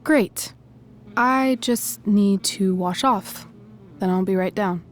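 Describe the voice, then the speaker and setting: A woman's voice: medium pitch, slow pace, natural voice. A woman playing a confident, scheming teenage girl in a video game, poised and conspiratorial. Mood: polite, guarded. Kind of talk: video game cutscene acting.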